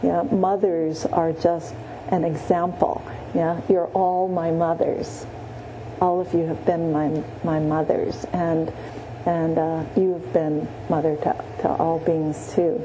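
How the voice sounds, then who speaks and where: A woman teaching a meditation class in a gentle, halting way, often pausing and adding "yeah.". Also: sits low, level tone, noisy background. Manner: gentle, many pauses and restarts, frequent "yeah". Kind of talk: guided Buddhist meditation teaching. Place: meditation room.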